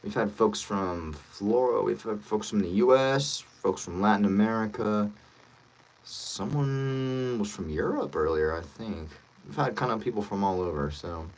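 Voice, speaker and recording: A man's voice: fairly low pitch, animated, unhurried, rough recording. A man chatting casually and friendly with viewers as a voice actor on a livestream, thinking aloud as he goes.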